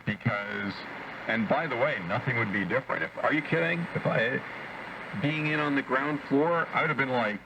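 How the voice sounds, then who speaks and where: A man's voice: medium pitch, normal speed. A man chatting casually over shortwave ham radio in a wry, opinionated, joking way, like an operator in a group discussion. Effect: shortwave radio transmission. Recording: dull, noisy shortwave radio reception. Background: radio static.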